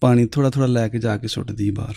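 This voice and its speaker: A man's voice: medium pitch, rapid-fire, creaky, breathy edge, no room sound, clean studio sound. A man telling moral stories on a talk show, acting out the lines of the figures in his tales. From Pakistan. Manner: animated storyteller who acts out the story's lines. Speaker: storyteller guest.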